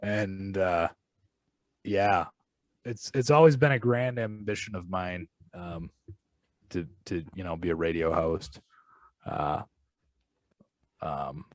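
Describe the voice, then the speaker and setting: A man's voice: low-pitched, darkish tone, no room sound. A man co-hosting a film podcast in casual chat. He is wry and self-mocking and halts often on fillers. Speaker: podcast co-host.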